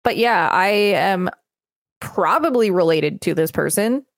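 A woman's voice: fairly low pitch, lively intonation, measured pace, dry recording, studio quality. A woman podcast co-host reading encyclopedia material aloud and chatting casually between passages.

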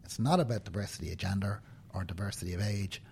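Earnest Dublin man, low mellow voice. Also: fast talker, gravelly, a little hiss or hum. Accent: Dublin Irish. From Ireland. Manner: earnest, emphatic, tag questions like "right?". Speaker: interview guest, banking professional. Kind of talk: conversational interview. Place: indoors, seated conversation.

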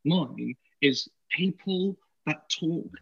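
A man's voice: a little high, drawn-out words, gravelly, breathy edge, muffled recording. A man chatting casually as a podcast guest, hesitant and repeating his phrases. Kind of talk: casual chat.

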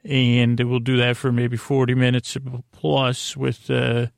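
Meandering, creaky-voiced man lulling listeners. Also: medium pitch, monotone, dry recording, studio quality. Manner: meandering, halting, often trailing off and repeating himself; lulling, soothing. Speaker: sleep podcast host. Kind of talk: rambling bedtime monologue.

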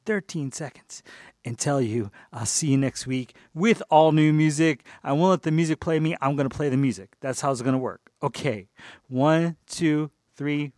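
A man's voice: a little high, darkish tone, dry recording, clean studio sound. A man hosting a music radio show, talking casually and off the cuff in a rambling, playful way, as if thinking aloud. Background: faint-to-clear music bed.

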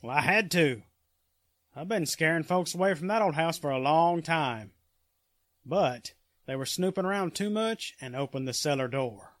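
A menacing rural killer played by a man talks in a fairly high voice, recorded close and dry. He is folksy, terse and coldly threatening, a classic 1940s radio drama villain. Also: animated, normal speed, no room sound, natural voice.

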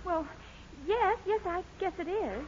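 A woman's voice: very high voice, expressive, unhurried. An American woman playing a sweet, doubtful young small-town girlfriend in 1940s radio comedy style, girlish and hesitant. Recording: muffled, noisy 1940s radio recording.

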